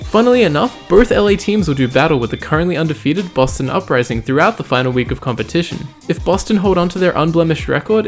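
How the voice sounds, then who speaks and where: A man with a slightly high-pitched voice narrates a scripted esports highlight recap as its host. His phrasing is measured, formal and wordy, and music plays behind him. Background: background music.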